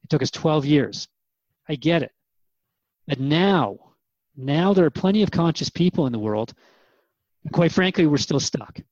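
A man's voice: a little high, animated, moderate pace, pauses often, darkish tone, no room sound, dull audio. A man playing an earnest, searching radio host in a drama, asking questions in a thoughtful, conversational, hesitant way. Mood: earnest, concerned. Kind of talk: radio drama interview dialogue.

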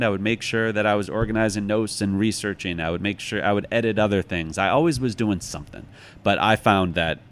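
A middle-aged American man talking casually as an interviewed author, reflective and thinking aloud. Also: deep voice. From United States, Chicago. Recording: clearly noisy background.

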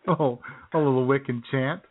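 A man co-hosting a casual radio show with jokey, rambling, slightly hesitant banter. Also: mid-range voice. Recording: thin phone-like audio.

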